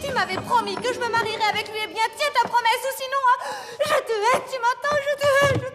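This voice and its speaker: A woman's voice: very high voice, fairly even intonation, quick pace, over loud music. An actress playing a spoiled, bratty young woman in a cartoon, whining and demanding. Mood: tantrum. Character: spoiled bratty young princess-wannabe daughter, shrill tantrums.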